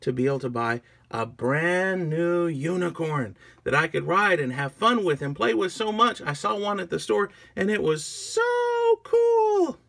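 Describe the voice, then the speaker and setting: A man's voice: sits high, sing-song, a little slow, gravelly, hardly any pauses, a bit bright, voice pushed up, full character voice. A man voicing a sweet, eager little girl in a toy story, gushing and earnest. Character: sweet, eager little girl selling her old toys. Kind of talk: toy storytelling with acted dialogue.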